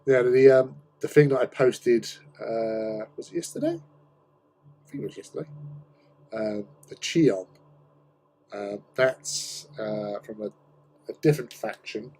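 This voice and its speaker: Hoarse man chatting slowly. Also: on the low side, dark tone, a little hiss or hum, poor audio. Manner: rambling, hesitant, many ums. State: hoarse from a cold. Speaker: hobby stream host. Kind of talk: casual chat while painting.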